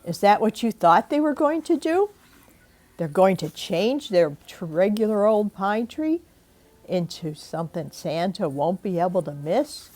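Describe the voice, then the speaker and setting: An older woman reading a storybook aloud in an expressive, deliberate way, pausing for asides and questions to young listeners. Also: mid-range voice. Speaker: grandmother reading a picture book aloud. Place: indoors at home.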